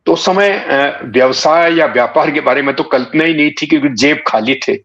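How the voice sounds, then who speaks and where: A man in his sixties from Bikaner in Rajasthan, reminiscing in an interview with halting, deliberate phrasing. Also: fairly high pitch, fairly bright tone. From Bikaner, Rajasthan, India. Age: 60s. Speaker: interview guest, writer and satirist. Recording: dull, muffled lo-fi audio.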